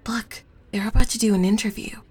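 A woman's voice: mid-range voice, steady tone, fairly quick, a little mellow, breathy edge. A woman playing a reluctant superhero in a comic audio drama, with a dry, understated manner. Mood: wry.

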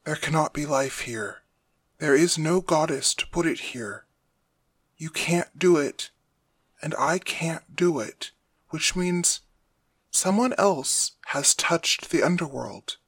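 A man playing a calm, calculating captive father god in an audio drama, quiet and deliberate. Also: on the high side, breathy edge, no room sound, heavily acted. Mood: quietly probing.

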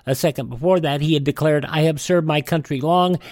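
A man's voice: fairly high pitch, brisk, darkish tone, dry recording. An American man hosting a radio show, presenting and reading news items. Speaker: radio host.

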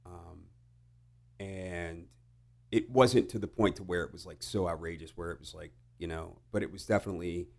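A middle-aged American man telling personal stories casually, halting and reflective, with some swearing. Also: low voice, vocal fry. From United States. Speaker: podcast guest. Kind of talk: casual conversational storytelling.

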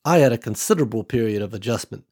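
A man's voice: medium pitch, expressive, rapid-fire, a little mellow, breathy edge. A man voicing a young woman who speaks earnestly and a bit hesitantly about being a reluctant leader.

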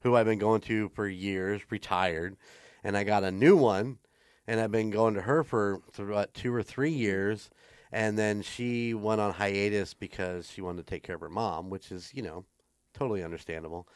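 A man's voice: lowish voice, steady tone, moderate pace, smooth, no echo, studio quality. A man co-hosting a podcast, chatting casually and joking, rambling with restarts. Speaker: podcast co-host. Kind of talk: casual chat.

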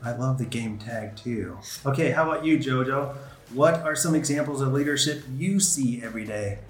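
A woman's voice: extremely low pitch, moderate pace. A woman interviewing young students, encouraging and affirming as she moves from question to question. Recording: echoey room with music behind.

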